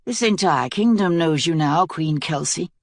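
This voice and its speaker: A woman's voice: low voice, expressive, unhurried, no room sound, full character voice. A woman reading aloud, voicing a bitter male soldier captain who accuses his queen. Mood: accusing.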